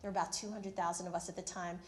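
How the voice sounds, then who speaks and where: A woman's voice: fairly low pitch, level tone, rapid-fire, echoey room. A woman in her thirties from the Philippines, telling her career story on a panel in a candid, self-deprecating way. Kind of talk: panel discussion. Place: university event room.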